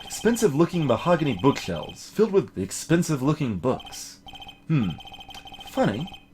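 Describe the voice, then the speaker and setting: A man's voice: a little high, big pitch swings, normal speed, darkish tone, a little hiss or hum. A man voicing a young male defense lawyer's dry, observational inner narration in a video game. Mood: wry musing. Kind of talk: voiced visual novel game reading.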